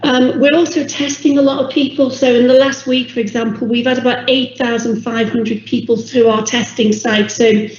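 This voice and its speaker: A woman from a public health team briefing an online council meeting, speaking in a measured way with many ums while losing her voice. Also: on the high side, a little mellow. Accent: English. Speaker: public health officer presenting. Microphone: video call. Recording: echoey, dull video call audio.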